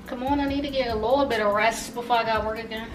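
A woman's voice: a little high, steady tone, quick pace. A young adult woman chatting casually as she vlogs at home, recorded on a camera a few feet away. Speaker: vlogger. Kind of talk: casual home cooking vlog chat. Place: small home kitchen, indoors. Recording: echoey kitchen, distant camera mic, music behind.

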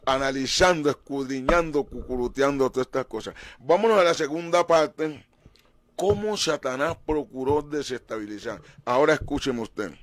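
A man's voice: medium pitch, no echo. A middle-aged man hosting a faith talk show, preaching emphatically with dramatic rises in his voice, at a desk mic in a small studio. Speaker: pastor and show host. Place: small studio with painted backdrop. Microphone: desk mic on stand.

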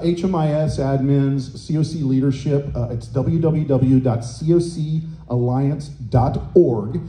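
A middle-aged man giving a conference talk on a handheld microphone in a hotel meeting room, loud and booming, with many fillers and restarts. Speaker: conference presenter. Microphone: handheld microphone through room speakers. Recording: echoey conference room, faint noise.

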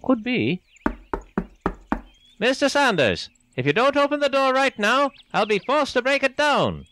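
An actor playing a folksy, patronizing police officer in an audio drama, with an avuncular, informal manner. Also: male voice, extremely high pitch, very animated, unhurried, bright, forceful tone, slight background noise, full character voice. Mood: brisk, commanding. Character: folksy, patronizing male police officer, briskly take-charge.

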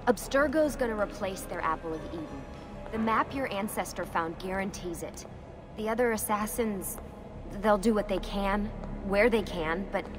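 An actress playing a guarded, earnest young woman assassin in a video game, speaking in a measured way. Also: medium pitch, close to an everyday voice. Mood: urgent, guarded. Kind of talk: video game cutscene acting. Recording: music behind the voice.

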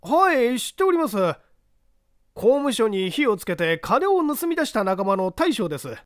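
A male narrator plays a deferential foreman in a mystery story. His voice is high and animated, with little room sound. He explains himself earnestly and politely. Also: normal speed, dry recording, clean studio sound. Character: deferential male works foreman answering an interrogation.

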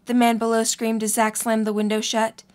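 A woman's voice: on the high side, monotone, normal speed, a little mellow. A woman reading scripted story narration as the narrator of an audio drama.

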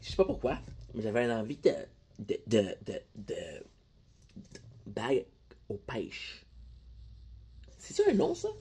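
A man's voice: mid-range voice, wildly swooping pitch, measured pace, long pauses, mellow, husky. Rambling young man with a Québécois accent. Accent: Québécois French. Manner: rambling, joking, playful asides to viewers. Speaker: vlogger. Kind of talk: casual candy-tasting vlog. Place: small room indoors at night. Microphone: phone at arm's length.

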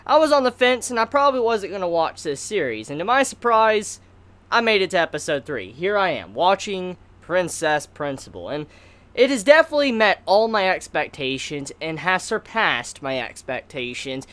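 A man's voice: high-pitched, big pitch swings, moderate pace, a bit bright, clean tone. An American man in his twenties giving a casual, rambling review to camera with emphatic stresses. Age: 20s. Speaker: anime reviewer. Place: small indoor room lined with bookshelves.

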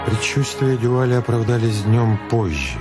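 A man's voice: medium pitch, expressive, moderate pace, over loud music. A man giving dramatic scripted narration as the narrator of a radio play.

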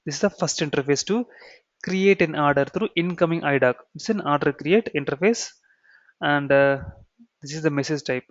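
A man with an Indian accent giving an explanatory software tutorial, repeating key phrases and checking in with "okay" and "right". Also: fairly high pitch, normal speed, no room sound. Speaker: software trainer. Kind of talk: explanatory screen-share tutorial. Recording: dull, muffled lo-fi sound.

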